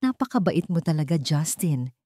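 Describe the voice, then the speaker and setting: A woman's voice: low-pitched, very animated, normal speed, mellow, no echo, clean studio sound. A woman voicing a shy, polite young woman in a romance story. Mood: admiring. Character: hurt, polite young woman, shy newcomer in a rich household.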